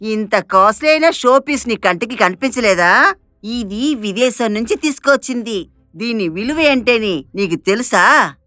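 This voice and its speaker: A woman voicing a harsh, contemptuous lady of the house in an animated moral story, bossy and berating. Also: fairly high pitch, measured pace, no echo, clean studio sound, faint music behind. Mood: angry, scornful.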